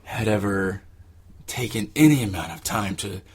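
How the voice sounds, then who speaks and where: Husky, halting man being interviewed. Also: on the low side, lively intonation. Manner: hesitant, halting, searching for words. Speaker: interviewee, exercise participant. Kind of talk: casual reflective interview answers.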